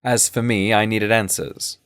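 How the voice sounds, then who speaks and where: A man playing an earnest, shaken sleuth who narrates his adventure in a measured, literary way for an audio drama. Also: fairly low pitch, fairly even intonation, a bit bright, clean tone, dry recording. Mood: grave, reflective. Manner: literary, measured, deliberate phrasing. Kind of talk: scripted first-person audio drama narration.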